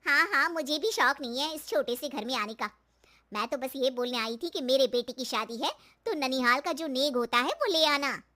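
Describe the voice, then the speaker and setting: A woman's voice: sits high, normal speed, bright, forceful tone. A woman voicing a haughty, mocking and demanding sister-in-law in a cartoon story. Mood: complaining.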